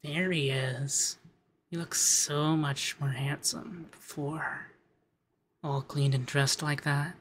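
Soft, unhurried rich femboy acted by a man. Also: on the high side, fairly even intonation, breathy edge. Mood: affectionate, flirty. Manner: soft-spoken, giggly, affectionate, hesitant fillers. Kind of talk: ASMR roleplay.

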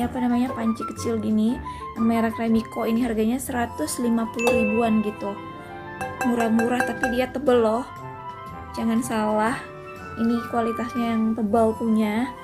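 Casual woman vlogger, a bit high-pitched, chatty voice-over with music behind. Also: level tone. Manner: chatty, pauses to recall prices. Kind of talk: casual grocery haul voice-over. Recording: voice-over with clear background music.

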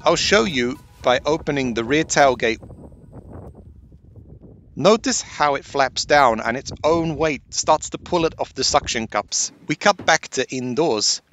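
A man giving a steady, explanatory voice-over for a van life how-to video. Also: medium pitch, a little slow. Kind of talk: scripted voice-over narration. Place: based in Sweden.

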